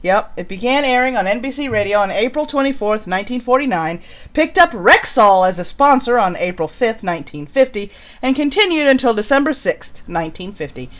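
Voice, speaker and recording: A woman's voice: a little high, lively intonation, fairly quick, a little hiss or hum. A woman hosting a show casually, reading out programme introductions. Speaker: host of an old-time radio programme.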